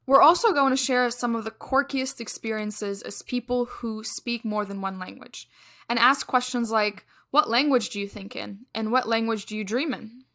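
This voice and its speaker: A young woman co-hosting a casual podcast, her voice bright and forceful. She tells stories in an animated way and often restarts her sentences with fillers. She grew up in Egypt. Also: on the high side, moderate pace. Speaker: podcast co-host.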